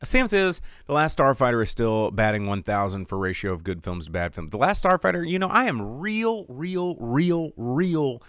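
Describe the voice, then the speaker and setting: An American man hosting a live film talk show, chatting casually with viewers in an animated, opinionated way and repeating words for emphasis. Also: medium pitch, normal speed, few pauses, clean tone, no echo, clean studio sound. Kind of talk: casual livestream chat with viewers.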